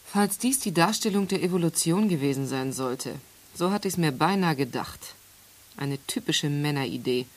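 A woman's voice: sits low, expressive, moderate pace. An actress playing a dismissive, critical woman in a radio play, by turns cutting and hesitant. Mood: scornful. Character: dismissive critic of men, hesitant yet longing. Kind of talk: radio play acting, dialogue.

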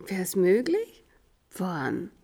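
A composer's anxious, curious wife. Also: female voice, fairly low pitch, wildly swooping pitch, drawn-out words, warm tone, full character voice. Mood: surprised, curious. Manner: familiar, old-fashioned homely speech. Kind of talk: German radio play acting.

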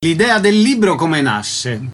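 A man's voice: fairly high pitch, sing-song, takes it slowly. A man hosting book interviews, putting short prepared questions and introductions. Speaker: interviewer, host.

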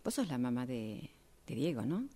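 A woman's voice: a bass voice, very animated, normal speed, warm tone, slight background noise. A woman improvising a radio drama part as a sociable mother making tentative, awkward small talk. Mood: tentative, friendly. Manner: tentative, chatty. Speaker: radio drama workshop participant.

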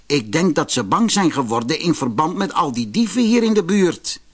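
A sly, lazy swindler of a dyer from a storybook, put on by a male narrator. He talks with sanctimonious, feigned reluctance in a husky, swooping male voice. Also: fairly high pitch, very animated, moderate pace. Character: lazy, greedy, hypocritical male cloth dyer, a cheat. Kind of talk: storybook character voice.

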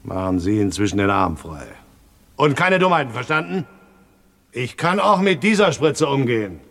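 A man's voice: mid-range voice, sing-song, moderate pace, vocal fry, fairly bright tone, exaggerated voice. Growling, menacing male kidnapper. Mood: curt threat. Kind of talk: 1970s German radio crime drama acting.

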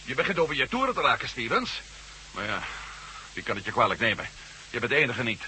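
An actor playing a brisk, determined former astronaut in a science-fiction radio drama, authoritative and sardonic. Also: male voice, mid-range voice, big pitch swings, pushed and bright. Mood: resigned. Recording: very noisy old radio recording.

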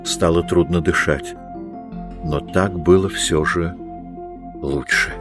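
Deep male literary narrator over music. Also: steady tone, slow pace. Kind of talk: dramatic literary audiobook narration. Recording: music behind the voice.

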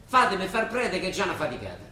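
An actor playing a rebellious, disillusioned middle-aged priest in a radio drama, wry and plain-spoken with a Roman accent. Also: male voice, sits high, huge pitch swings, very fast, sharp tone, heavily acted. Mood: defiant, weary. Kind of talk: Italian stage-style radio drama. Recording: echoey stage recording, distant mic, hiss.

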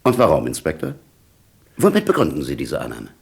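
An actor playing an authoritative, skeptical senior police chief in a radio play, with a gravelly, husky voice. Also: male voice, a bass voice, wildly swooping pitch, brisk, full character voice. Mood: calm authority. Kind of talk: German radio play dialogue.

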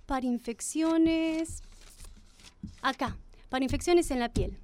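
An actress playing an earnest, polite, hesitant young niece in a radio drama. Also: female voice, high-pitched, slow, a little mellow. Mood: focused.

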